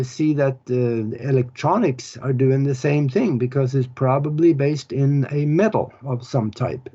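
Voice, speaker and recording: A man from Norway. He explains spiritual ideas in an earnest, halting way, pausing often as he searches for words. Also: mid-range voice, mellow. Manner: unhurried, earnest, halting with pauses. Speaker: author and spiritual teacher. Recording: dull lo-fi recording.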